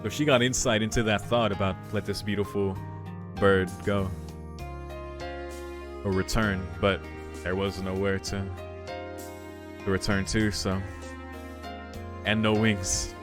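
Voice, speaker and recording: A man's voice: fairly low pitch, little pitch movement, measured pace, pauses often. A man doing a casual, reflective let's play of a visual novel, commenting and reading the text aloud. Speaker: streamer playing a visual novel. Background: game music.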